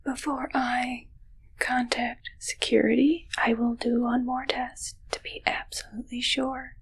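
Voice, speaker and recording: A woman whispering an ASMR roleplay as an odd, suspicious medical examiner, in halting, stilted short phrases. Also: a little high, level tone, breathy edge.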